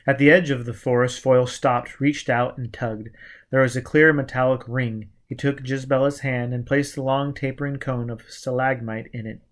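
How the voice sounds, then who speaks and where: A man's voice: medium pitch, steady tone, normal speed. Male audiobook reader, middle register, for dramatic narration of adventure fiction. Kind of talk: scripted dramatic narration of a novel.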